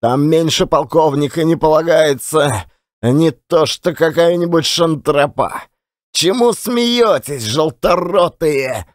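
A man's voice: a little high, slow, no room sound, clean studio sound, pitched above the performer's own voice. A man voicing an indignant, boastful old billiard marker who grumbles and scolds in an audiobook. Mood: boastful, angry. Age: older.

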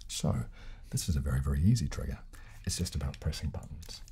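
A man in his thirties speaks very low and softly into a close microphone. He hosts a casual ASMR lesson, unhurried and often near a whisper, over clear handling noise of clicks and crinkles. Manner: hushed, unhurried, hesitant restarts, often slipping into whispers. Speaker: host and ASMR instructor. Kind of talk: soft-spoken ASMR tutorial with casual chat. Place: small furnished room, indoors. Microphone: desk condenser microphone, close up. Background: button clicks, crinkling.

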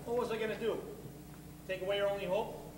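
Man acting a deadpan high-voiced bluesman in echoey hall. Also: fast, close to an everyday voice. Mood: evasive. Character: laconic, evasive male blues musician, loyal sidekick brother. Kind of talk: stage comedy acting. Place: theater stage, recorded from the audience. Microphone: far camera. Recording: echoey theater hall, distant noisy camera mic.

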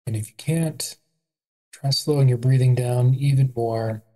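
A meditation guide with an adult voice, leading a body scan in a calm, unhurried, gentle way with pauses. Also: mid-range voice.

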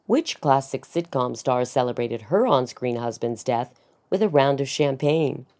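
A woman's voice: extremely low pitch, expressive, unhurried, a little mellow. A middle-aged woman reading scripted celebrity news as a voice-over narrator.

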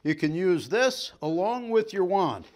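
A middle-aged man with a slightly high voice runs a cheerful, folksy product demo. He talks in easy, jokey patter as he works with the gear. He is recorded from a wide camera in a staged living room set. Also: very animated, a little slow, darkish tone. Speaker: sales trainer. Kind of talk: product demonstration.

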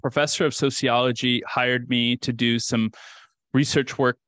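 A man's voice: mid-range voice, flat delivery, moderate pace, a bit bright, dry recording. A man in his thirties from rural Kansas, a guest telling his story on a video call in an earnest, reflective way. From rural Kansas, USA. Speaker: interview guest. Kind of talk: casual interview storytelling. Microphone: video call with desk mic.

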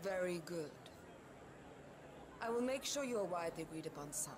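An actress playing a cold, businesslike crime boss in a video game, curt and formal on a phone call. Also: female voice, fairly low pitch, lively intonation, moderate pace. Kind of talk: scripted video game dialogue. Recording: distant, quiet voice in noisy ambience.

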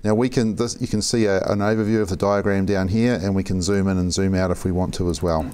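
A man in his forties with a New Zealand accent, walking through a software demo methodically in a conference room. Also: low voice, steady tone, fast talker, clean tone. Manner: plain, methodical explaining. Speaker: software architect giving a demo. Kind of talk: live software demo presentation.

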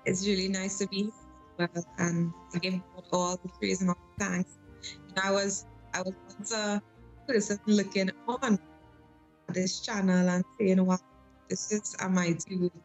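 A young woman from Trinidad with a Trinidadian accent, sharing her life testimony in a soft, measured way over a video call from home. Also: medium pitch, level tone, soft background music, poor audio. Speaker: guest.

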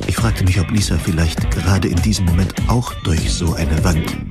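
A man's voice: deep voice, normal speed. A man reading a scripted first-person narration of a dystopian science fiction story. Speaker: audio drama narrator. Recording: music playing behind the voice. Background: plucked guitar music.

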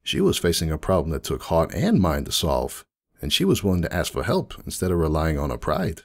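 A man's voice: rumbling low, big pitch swings, fairly quick, full character voice. A man voicing a friendly, nostalgic unicorn donut shop owner in a dramatic fan fiction reading, chatty and folksy like someone reminiscing. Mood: fond, reminiscing.

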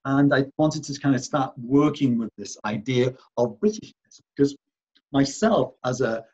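A man's voice: mid-range voice, lively intonation, moderate pace, a little mellow, husky, muffled recording. A man giving an artist talk over slides, hesitant and full of restarts and fillers. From Wales.

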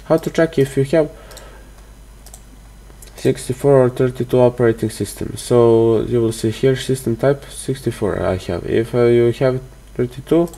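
A man narrating a screen-recorded software tutorial, halting and hesitant with many fillers. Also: mid-range voice, vocal fry. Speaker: tutorial host.

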